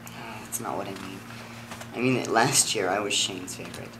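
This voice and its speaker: A man's voice: lowish voice, normal speed, lightly acted. A man playing an earnest, principled, wounded young lover in a dramatic script reading. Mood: earnest, uneasy. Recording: clearly noisy room.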